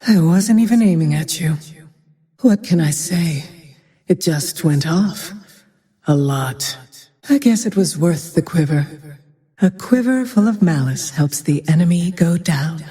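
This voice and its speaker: A woman playing a cold, vengeful, menacing female archer in a video game, with a whispery edge and slow, icy delivery. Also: lowish voice, expressive, warm tone, husky, big-room sound. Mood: grim wry. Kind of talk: video game character voice lines.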